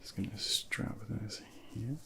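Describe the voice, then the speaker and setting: A man softly whispering a medical ASMR role play as a junior doctor, gently reassuring and instructing, close to a binaural microphone. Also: fairly low pitch, slow pace, background noise. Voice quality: breathy whispering, gravelly.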